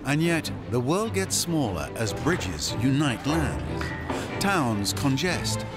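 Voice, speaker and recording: Theatrical male narrator, highly expressive, over music. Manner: theatrical, wry, storyteller-like delivery with deliberate phrasing. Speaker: game narrator. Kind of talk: scripted cinematic narration. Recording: voice over prominent music score.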